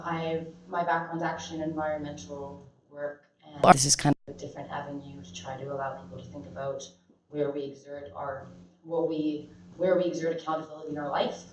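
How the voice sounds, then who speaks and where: A woman giving an informal pitch for her group, hesitant and full of fillers. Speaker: meetup organizer pitching. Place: meetup room indoors. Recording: echoey room, distant dull mic, faint hiss.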